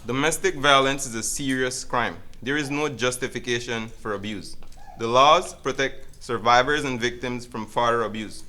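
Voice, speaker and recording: A man's voice: medium pitch, normal speed, bright, forceful tone, slight background noise, natural voice. A man playing a stern, authoritative judge in an amateur educational drama, speaking formally and officially. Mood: firm, solemn.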